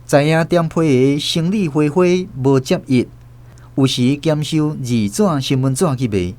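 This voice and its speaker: A man with a Taiwanese accent narrating a scripted radio drama story in an expressive storyteller's delivery. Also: fairly high pitch, measured pace. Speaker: host and narrator.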